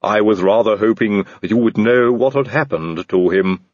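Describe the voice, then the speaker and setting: A man voicing a calm male odd-job servant, stitched together from many men, speaking slowly and politely. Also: on the low side, no echo, dull audio, close to an everyday voice. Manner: slow, unruffled, oddly polite.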